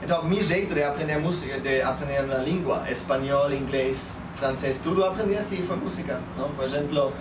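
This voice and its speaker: A man in his thirties with a slightly high voice chats and gives advice as a guest. His delivery is halting and mixes languages. He sounds echoey, with clear noise around him. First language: German. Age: 30s. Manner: halting non-native speech peppered with Spanish and English words and tag questions. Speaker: guest, public speaker. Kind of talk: casual storytelling and advice. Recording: echoey, noisy, distant mic.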